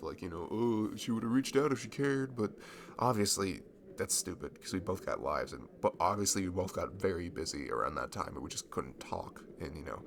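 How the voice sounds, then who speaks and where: Guy acting as awkward nerdy dude, deep croaky voice, stammers and rambles, romantic asmr roleplay, noisy recording. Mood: tender, nervous. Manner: rambling, hesitant, stammering, nervous laughs. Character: shy, giddy, nerdy young man, a longtime friend in love. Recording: clearly audible background noise.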